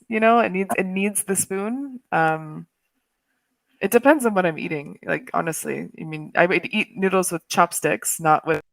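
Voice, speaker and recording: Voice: mid-range voice, vocal fry, fairly bright tone, dry recording. An English tutor chatting casually on a video call, laughing often and saying "like" a lot.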